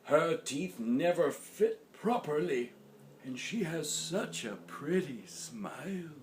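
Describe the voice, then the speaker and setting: Man putting on a loud, high, dramatic old grandpa. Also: big pitch swings, slow pace, a little hiss or hum. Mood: pleased. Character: toothless grumbling old grandpa, dramatic and miserable.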